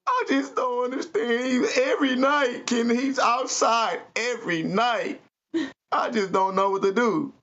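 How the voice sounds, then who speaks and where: A desperate mother pleads in a high, wavering voice that keeps tipping into tears, as a man puts her on. She sounds frazzled and worn out. The recording is muffled and lo-fi. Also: animated, unhurried, fairly bright tone, full character voice. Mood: tearful pleading. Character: tearful worried mother at wit's end.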